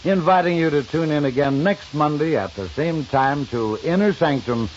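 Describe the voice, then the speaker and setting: A man reading a folksy, emphatic sales pitch as a 1950s radio announcer. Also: moderate pace, a little hiss or hum. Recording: dull old 1950s radio recording.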